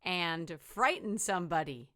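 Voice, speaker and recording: A woman's voice: fairly low pitch, lively intonation, measured pace, fairly bright tone, no room sound, clean studio sound. A woman voicing an eager, mischievous little boy skeleton in a playful storybook read-aloud.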